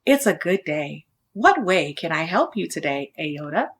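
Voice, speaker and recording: A woman voicing a kindly, wise spiritual elder in a storybook, calm and welcoming. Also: deep voice, a little slow, voice pushed down, full character voice.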